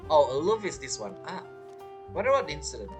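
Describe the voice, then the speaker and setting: A woman's voice: low voice, very animated, a little fast, soft background music. A woman streamer giving casual game commentary, chatty and reacting aloud in short fragments. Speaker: gaming streamer.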